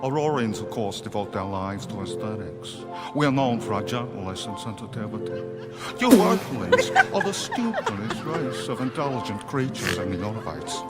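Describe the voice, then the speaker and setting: Pompous alien aesthete, swooping pitch. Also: male voice, wildly swooping pitch, normal speed. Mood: haughty, disdainful. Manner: pompous, affected. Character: clown mimicking a haughty, prissy alien aesthete. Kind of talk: radio play acting. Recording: loud music behind.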